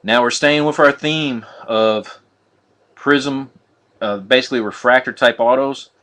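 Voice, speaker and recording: A man hosting a live card break on a stream, talking viewers through each pull in a flat, monotone way. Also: medium pitch, measured pace. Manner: monotone, says so himself; chatty, addresses viewers as guys. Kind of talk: casual live-stream commentary.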